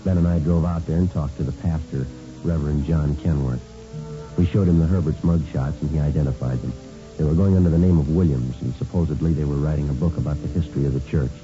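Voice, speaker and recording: An actor playing a matter-of-fact, businesslike police sergeant in a vintage radio crime drama, with clipped delivery. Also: male voice, a bass voice, fast talker, music in the background. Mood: matter-of-fact narration. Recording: muffled vintage radio recording.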